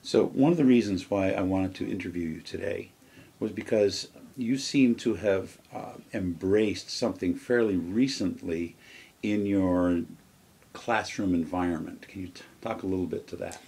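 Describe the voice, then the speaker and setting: A man's voice: low-pitched, normal speed, gravelly, warm tone, slightly rough. A man interviewing a teacher, asking questions in an easygoing, casual way with fillers.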